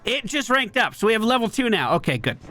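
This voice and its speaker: A man's voice: high-pitched, sing-song, fast talker, pushed and bright. A man hosting a gaming video, chatting and thinking aloud analytically as he plays. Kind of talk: gameplay commentary. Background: faint game music.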